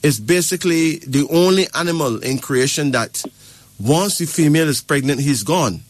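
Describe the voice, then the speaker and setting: A man's voice: a little high, lively intonation, moderate pace, no echo. A man from Barbados, giving advice in a lecturing way and often checking in with "right?" or "okay?". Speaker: spiritual advisor. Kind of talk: casual advice talk.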